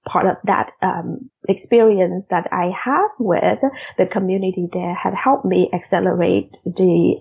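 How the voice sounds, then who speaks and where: A woman in her forties from Vietnam, with a Vietnamese accent, speaking as an interview guest in a measured way, often saying "you know". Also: lowish voice, creaky, a little mellow, husky, muffled recording. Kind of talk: conversational interview.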